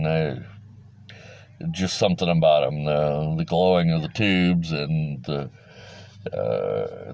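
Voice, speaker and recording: A man's voice: extremely low pitch, darkish tone, lo-fi sound. A middle-aged man giving a casual, rambling, plain-spoken explainer in a folksy way, like a hobbyist talking to fellow enthusiasts. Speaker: hobbyist presenter. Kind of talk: casual informal explainer monologue.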